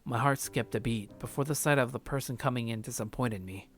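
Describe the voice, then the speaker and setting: Visual novel narrator: numb, heartbroken man read by a man, mid-pitched, brooding, subdued delivery. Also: a little fast, a bit bright, faint music behind, lightly acted. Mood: weary, despondent.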